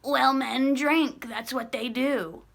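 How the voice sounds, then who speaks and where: A woman voicing a scornful, lazy older mother who talks with a slow, gravelly drawl. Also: high voice, steady tone, a bit bright, pitched above the performer's own voice, exaggerated voice. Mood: dismissive.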